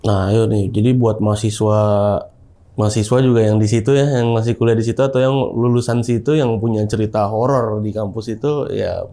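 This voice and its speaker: A young man hosting a casual horror-story podcast interview in a studio, chatty and relaxed. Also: on the low side, brisk. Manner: relaxed, chatty, uses Jakarta slang. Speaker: podcast host.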